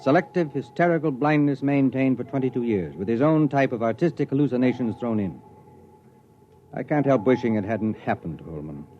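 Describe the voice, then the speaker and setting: An actor playing a weary, pragmatic spaceship commander in a 1950s radio drama, matter-of-fact and wry. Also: male voice, medium pitch, expressive, fairly quick, lightly acted. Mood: weary, musing. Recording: dull, noisy vintage radio recording.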